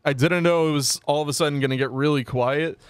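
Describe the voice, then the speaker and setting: A young man co-hosting a sports show in a studio, telling stories in a loud, emphatic, big voice. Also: a little high, fairly even intonation, fast talker, smooth. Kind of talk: casual chat. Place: small TV studio, indoors. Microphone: desk mic on boom arm.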